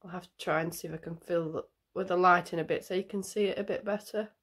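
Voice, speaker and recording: A woman's voice: fairly low pitch, fairly even intonation, quick pace, husky. A woman with a nasal, bunged-up voice from a cold, chatting in a rambling way while doing her makeup on camera, with lots of ums. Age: adult parent. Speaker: makeup vlogger. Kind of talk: casual makeup tutorial chat.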